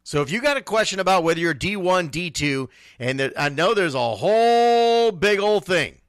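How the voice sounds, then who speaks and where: A man's voice: high-pitched, animated, moderate pace, a bit bright, no echo, studio quality. A man hosting a casual sports talk radio show, speaking emphatically and repeating phrases to drive points home.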